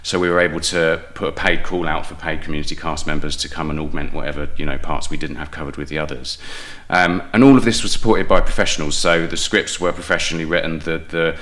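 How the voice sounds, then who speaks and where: A man with a low voice and a bright, forward edge talks as an interview guest. He explains things articulately and at length, in a conversational, discursive style. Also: steady tone, quick pace, hardly any pauses. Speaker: podcast guest, playwright and audio producer. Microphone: podcast microphone with headphones.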